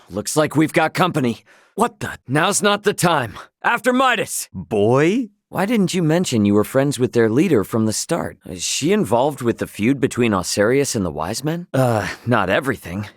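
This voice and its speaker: A man voicing a brash, loyal young male captain in a video game, talking in an informal, earnest, expressive way. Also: mid-range voice, moderate pace, dry recording, clean studio sound. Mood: alarmed, then suspicious.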